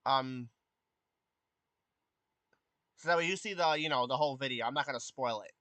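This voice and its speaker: A man of twenty chatting casually with his live stream viewers. He has a youthful voice that has not deepened, and he hesitates often with ums and restarts. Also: normal speed, bright, forceful tone, no echo. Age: 20s. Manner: hesitant, many ums and restarts, answers chat questions.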